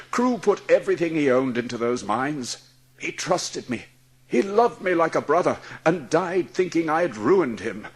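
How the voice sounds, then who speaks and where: A man acts out a wealthy gentleman of middle age for an audiobook drama, sick and eaten up by guilt. His husky voice rises and falls widely, halting with anguish as he speaks. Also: on the high side, full character voice. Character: guilt-ridden ailing wealthy English gentleman.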